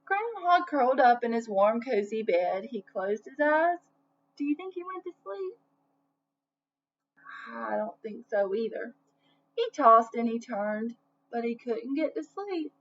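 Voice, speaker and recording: High-voiced woman reading a children's story, unhurried. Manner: expressive, asks listeners questions. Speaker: storybook reader. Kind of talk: children's read-aloud narration with asides. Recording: dull lo-fi sound, faint noise.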